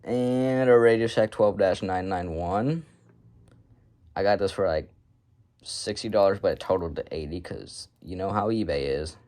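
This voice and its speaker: A man's voice: sits low, moderate pace, a little mellow. A man giving a casual, unscripted show-and-tell as a hobbyist, rambling in an offhand, self-deprecating way. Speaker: hobbyist showing a radio collection.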